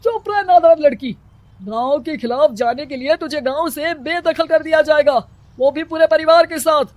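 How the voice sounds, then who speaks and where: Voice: high-pitched, moderate pace, no room sound, muffled recording, lightly acted. A performer voicing a scheming, bossy village headman who scolds and threatens villagers in a storybook cartoon. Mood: scolding. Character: scheming middle-aged male village headman.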